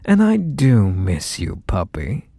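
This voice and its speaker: Man acting a slow, low-voiced possessive mafia boss. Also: huge pitch swings, creaky, warm tone, no echo, heavily acted. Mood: smooth, condescending. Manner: unhurried, mock-sweet, condescending pet names. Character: possessive, teasing, menacingly affectionate male mafia boss lover. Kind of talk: ASMR roleplay, intimate one-sided dialogue.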